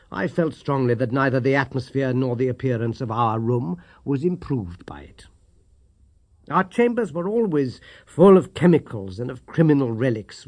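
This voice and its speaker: A man narrating a classic story as an audiobook reader, in an expressive, wry storytelling manner. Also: mid-range voice, vocal fry, slightly rough.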